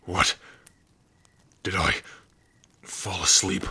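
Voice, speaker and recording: A man playing a rough but kind-hearted orc outcast in an ASMR fantasy roleplay, with a gruff, raspy voice and an easygoing, wry way of addressing his companion. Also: low-pitched, fairly even intonation, extremely slow, bright, forceful tone, dry recording, full character voice. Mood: weakening.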